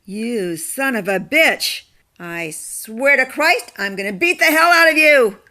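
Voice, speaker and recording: A frightened, defiant female captive from a horror radio play acted by a woman. Her voice is high and bright, swinging widely in pitch, with a halting, emotional delivery. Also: lively intonation. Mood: furious. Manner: halting, gasping, swearing. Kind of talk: horror radio drama acting.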